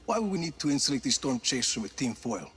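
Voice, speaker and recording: A man's voice: mid-range voice, big pitch swings, quick pace, fairly bright tone, husky, exaggerated voice. An actor playing a cocky male action hero in a video game, teasing in dry banter. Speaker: game protagonist. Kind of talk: video game cutscene acting. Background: game music.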